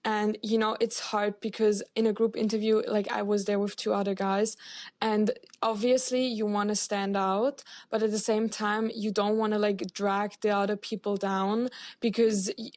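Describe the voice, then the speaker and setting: A young woman from Austria hosts a casual podcast conversation, chatty and quick to laugh. Her pitch stays fairly level and even, and the sound is close and dry. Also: no echo. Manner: chatty, laughs easily, many fillers. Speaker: podcast host.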